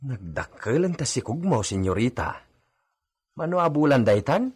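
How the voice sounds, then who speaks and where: A man playing a concerned household servant in an Ilocano radio drama, with a husky voice. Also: medium pitch, very animated, moderate pace, darkish tone. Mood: curious, concerned. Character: concerned male servant talking with his pregnant mistress.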